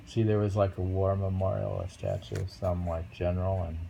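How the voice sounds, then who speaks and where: A man reading short poems aloud and chatting about them casually, musing and pausing over the lines. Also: low-pitched, very animated, creaky, dark tone, a little hiss or hum, poor audio. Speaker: poetry reader and host. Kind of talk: casual poetry reading and chat.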